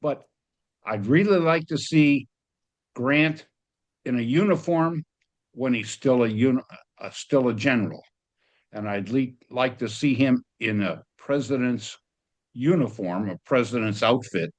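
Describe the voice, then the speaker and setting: A man's voice: medium pitch, unhurried. A man giving effusive, emphatic feedback in a casual way as an audience member on a video call.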